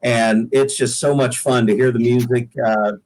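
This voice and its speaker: A man's voice: medium pitch, steady tone, normal speed, darkish tone, poor audio. Easygoing middle-aged American man, casual interview guest. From Oregon, United States. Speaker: guest singer-songwriter. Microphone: laptop on a video call.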